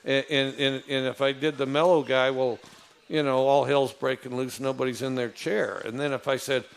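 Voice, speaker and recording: Gravelly low-voiced man, rambling. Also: flat delivery. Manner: rambling, halting with many fillers and restarts. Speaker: football coach. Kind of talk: casual storytelling.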